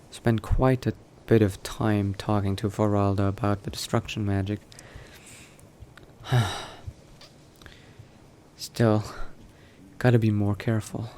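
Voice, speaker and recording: Brooding new vampire male gamer in the role: lowish pitch, very unhurried, hushed wary self-talk for game roleplay, noisy ambient backdrop. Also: natural voice. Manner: hushed, halting self-talk with sighs and pauses. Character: cautious newly turned male vampire, brooding adventurer thinking aloud. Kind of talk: in-character video game roleplay monologue. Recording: noticeable background noise.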